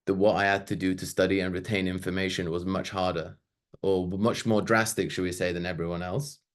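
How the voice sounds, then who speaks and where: A man's voice: sits low, flat delivery, brisk. A man, giving a casual, chatty webinar talk with loose asides and fillers. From Sweden. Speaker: webinar presenter.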